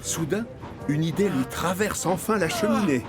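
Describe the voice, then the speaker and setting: A man's voice: on the high side, lively intonation, moderate pace, loud music behind the voice. A man narrating a scripted children's story.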